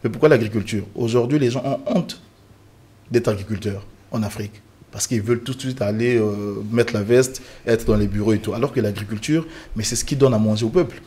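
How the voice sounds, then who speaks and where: A man originally from the Republic of the Congo speaks as a guest on a televised panel discussion. His voice sits a little low, and he argues earnestly and emphatically, with frequent fillers and restarts. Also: brisk, dark tone, slightly rough.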